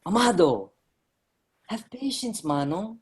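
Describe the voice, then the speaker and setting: A woman's voice: medium pitch, big pitch swings, takes it slowly, breathy edge, exaggerated voice. A woman playing an earnest young Filipino immigrant writer who recalls his boyhood in a dramatic staged reading. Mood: urgent calling. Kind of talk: staged reading, storytelling narration and dialogue.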